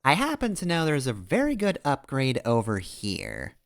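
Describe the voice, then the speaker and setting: A man's voice: mid-range voice, wildly swooping pitch, normal speed. A man streaming a video game, giving casual, rambling commentary in a wry, playful way as he muses to himself. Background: video game music.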